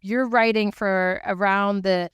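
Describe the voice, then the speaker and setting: Clear-voiced woman hosting an interview. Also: mid-range voice, fairly even intonation, slow, dry recording, clean studio sound. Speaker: podcast host. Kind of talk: conversational interviewing.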